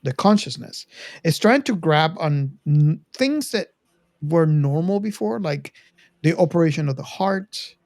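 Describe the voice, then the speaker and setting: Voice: mid-range voice, animated, a little slow, a little mellow, dry recording. Podcast host with a close, dry sound. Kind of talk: casual movie discussion.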